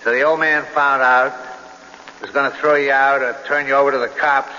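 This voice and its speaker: A man playing a hard-boiled, relentless cop in a radio drama, with a forceful, hard-edged voice at a middling pitch. He is clipped and sardonic as he needles, in a reverberant hall on an old recording. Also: flat delivery, moderate pace, highs cut off, natural voice. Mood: hard accusing. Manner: clipped, needling, streetwise interrogation. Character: hard-boiled male police detective, tough, sardonic, relentless. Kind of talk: 1970s radio drama acting.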